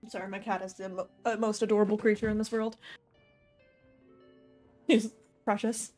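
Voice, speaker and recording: Female livestreamer, medium pitch, off-the-cuff playful commentary, soft game soundtrack behind. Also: level tone, normal speed. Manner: playful, thinking aloud. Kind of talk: casual live game commentary. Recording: faint game music behind the voice.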